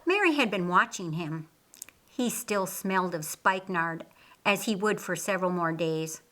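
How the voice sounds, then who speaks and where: A woman narrating a scripted story as an audiobook, reading deliberately and expressively. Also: on the low side, expressive, sharp tone.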